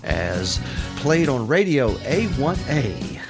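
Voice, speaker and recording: An upbeat man hosts a weekly radio countdown in an announcer's style. His pitch swoops a lot as he talks. Music plays clearly underneath. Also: very animated, takes it slowly. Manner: upbeat, announcer-like, laughs often. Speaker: radio host.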